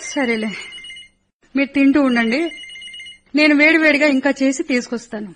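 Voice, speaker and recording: A woman's voice: sits high, normal speed, a bit bright. A fussing middle-aged mother. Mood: wistful. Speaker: mother in a radio play. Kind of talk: radio drama acting.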